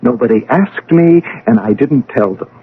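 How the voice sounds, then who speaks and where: An actor playing a bitter, proud scientist son in an old-time radio drama, with a theatrical, emphatic delivery. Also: male voice, mid-range voice, lively intonation, normal speed, narrow, tinny audio, a little hiss or hum, natural voice. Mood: bitter, defiant. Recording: band-limited radio drama recording.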